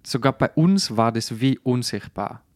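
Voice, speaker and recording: Guy from chatting on a podcast, colloquial, hunts for words a bit, normal pitch, really dry sound. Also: animated, a little slow, a little mellow, no room sound. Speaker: podcast host. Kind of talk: casual podcast conversation.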